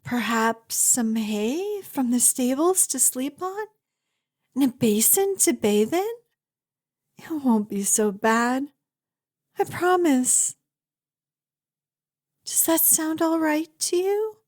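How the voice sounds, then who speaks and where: A woman performs as a young huntress from a fantasy tale, fierce yet tender toward a lost friend. Her voice sits high and she takes her time over each phrase. The delivery is emotional and close, as ASMR roleplay addressed to one listener. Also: lively intonation, slow, long pauses, darkish tone. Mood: earnest, reassuring.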